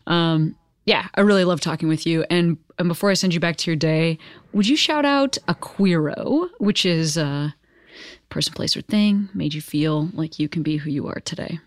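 A woman hosting a podcast, speaking informally and haltingly as she thinks aloud in a casual interview. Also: sits low, dry recording, clean studio sound. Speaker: podcast host.

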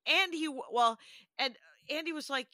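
A woman's voice: sits high, moderate pace, pushed and bright, dry recording, studio quality. A woman co-hosting a podcast, telling stories in a rambling, self-interrupting way with frequent laughs. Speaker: podcast co-host. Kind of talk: casual chat.

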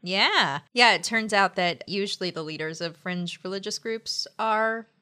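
A woman's voice: medium pitch, unhurried, sharp tone, dry recording. A woman co-hosting a podcast with casual, playful, tongue-in-cheek delivery. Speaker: podcast co-host. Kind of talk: casual podcast banter, ad reads and dramatic readings.

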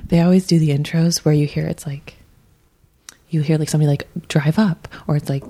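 A woman's voice: low-pitched, fairly even intonation, a little fast, dark tone, slight background noise. A woman guest on a podcast chatting casually and playfully, laughing often. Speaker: guest comedy writer.

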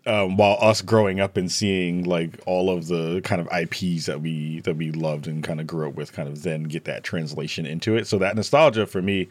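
A man with a fairly low voice talks casually in a panel discussion, recorded close and dry. His delivery is rambling and jokey, with animated emphasis as he works through his thoughts. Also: moderate pace, few pauses, dry recording.

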